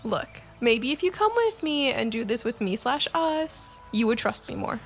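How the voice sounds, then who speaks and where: Actress as female tiefling adventurer, wheedling, persistent, lively high voice, fantasy radio play. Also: normal speed, a little hiss or hum. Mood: persistent, cheeky. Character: broke, pleading, persistent tiefling woman adventurer.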